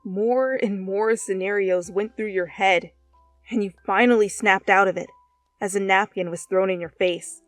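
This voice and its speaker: A woman's voice: mid-range voice, fairly even intonation, moderate pace, dry recording. Dry close-sounding woman narrating over music. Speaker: fan fiction reader. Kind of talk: expressive second-person story narration.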